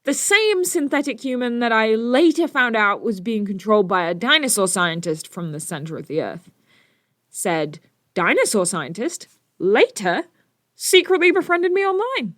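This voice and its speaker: A woman's voice: on the high side, very animated, normal speed, a bit bright, no room sound, studio quality. A woman playing a brainy, self-assured teenage superhero with a friendly, nerdy manner in an audio drama. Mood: chatty, nerdy.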